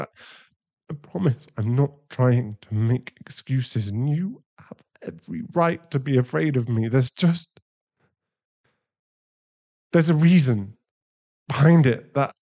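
A man playing a distraught, guilt-ridden werewolf boyfriend in an intimate ASMR roleplay, halting and pleading. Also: medium pitch, a little mellow. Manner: halting, pleading, emotionally fragile.

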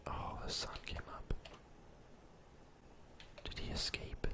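A young man doing whispered ASMR game commentary in a breathy, unvoiced voice, murmuring to himself as he plays indoors. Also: takes it slowly. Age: young adult. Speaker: gaming streamer. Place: indoor room at home.

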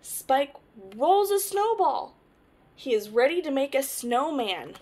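A young woman hosting storytime, reading a children's picture book aloud with animated, deliberate phrasing. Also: sits high. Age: young adult. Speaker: storytime host.

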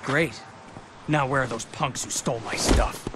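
An actor playing a brash, eager young skater with slangy talk in a video game. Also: male voice, mid-range voice, measured pace, close to an everyday voice. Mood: cocky, defensive. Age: young adult. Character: cocky, eager young small-town skater guy. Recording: loud vehicle and street noise behind.

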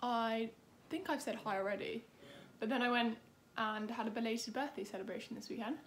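A woman's voice: on the high side, a little fast, slight background noise. A young British woman chatting casually to camera as a vlogger, informal and self-deprecating. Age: young adult. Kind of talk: casual vlog chat to camera.

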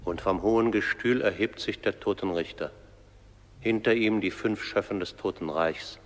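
An actor playing the solemn narrator of an underworld trial in a radio drama, measured and declamatory. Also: male voice, on the low side, natural voice. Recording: long reverberant hall.

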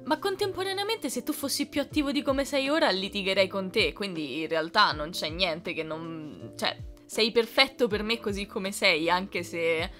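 A young woman with a notably bright, forward voice talks casually through fan questions. She is expressive and playful, with music playing faintly underneath. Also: medium pitch, moderate pace, few pauses. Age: young adult. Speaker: dubbing content creator answering fan questions. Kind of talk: casual question-and-answer chat.

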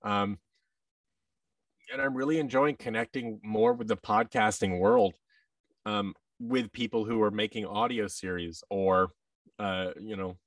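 An American man speaks in a fairly low voice as a podcast guest. He talks casually in halting runs, slipping into quoted dialogue. The sound is dry, with him a little back from the microphone. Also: no room sound, distant mic. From United States. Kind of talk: casual interview chat. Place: large indoor room with tables, on a video call. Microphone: boom-arm microphone with headset.

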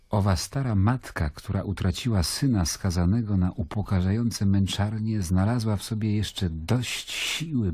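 An actor playing a grave Roman governor who confides in his brother, in a measured, solemn radio-drama narration. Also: on the low side, warm tone, slightly rough, no room sound, clean studio sound. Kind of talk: radio drama, epistolary first-person narration. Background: music.

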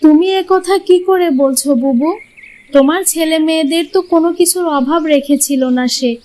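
A high, plaintive voice of a poor village widow, acted by a woman in a cartoon moral tale. She is a loving, worn-down mother, tender yet full of doubt. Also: normal speed, warm tone, close to an everyday voice. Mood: aggrieved.

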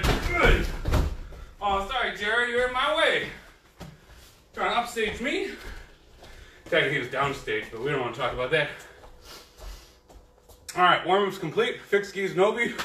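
A man's voice: sits high, pushed and bright, a little hiss or hum. A man teaching an online karate class, counting drills aloud and explaining self-defense with an easygoing, joking manner. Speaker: karate instructor. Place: dojo training room. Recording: echoey room, voice from far off.

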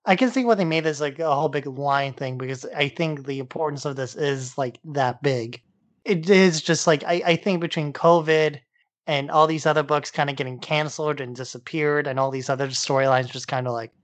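A man's voice: on the high side, moderate pace, a bit bright, no room sound. Casual male podcast co-host, dry sound.

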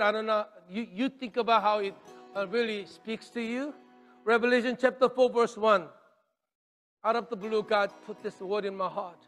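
A middle-aged man preaching on a church stage, gesturing widely and swinging from loud declarations to quiet near-whispers, in English with dropped articles. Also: very high voice. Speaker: pastor. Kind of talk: sermon. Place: church stage with drums and podium. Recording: long reverb of a church hall.

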